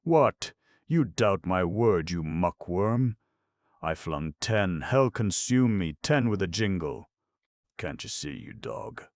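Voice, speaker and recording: A man's voice: high voice, slow pace, creaky, few pauses, a bit bright, breathy edge, no room sound, clean studio sound, voice pushed up, heavily acted. A man voicing a boastful, drunken young nobleman who drawls and throws insults. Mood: drunken bluster.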